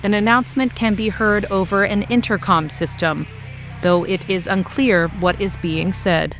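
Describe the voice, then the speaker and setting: A woman narrates and hosts a scripted story podcast. Her voice sits at an average pitch, and music plays clearly behind it. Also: fairly even intonation, moderate pace, fairly bright tone.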